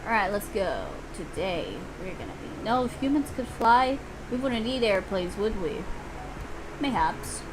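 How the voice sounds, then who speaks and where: A woman's voice: medium pitch, very animated, a little slow, background noise. A woman doing casual live gameplay commentary in a playful, exclamatory way, swearing freely. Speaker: game streamer.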